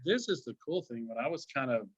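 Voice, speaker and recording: A man's voice: mid-range voice, very animated, fairly quick, husky. Upbeat male podcast host, lo-fi. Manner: upbeat, enthusiastic host patter. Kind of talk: casual podcast chat.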